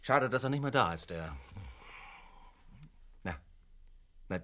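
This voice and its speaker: Bright, fussy bandleader. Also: male voice, mid-range voice, lively intonation, takes it slowly, slight background noise. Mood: wistful, forgetful. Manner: exclamatory, grumbling, scatterbrained. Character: fussy, complaining male leader of a chaotic music group. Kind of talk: comic musical radio play. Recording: music playing behind the voice.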